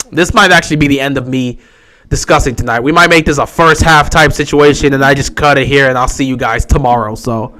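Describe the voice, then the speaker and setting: A young man with a sore, hoarse voice, giving casual live commentary on a football game he is streaming, resigned and frustrated. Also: mid-range voice, moderate pace, sharp tone. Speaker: livestream host.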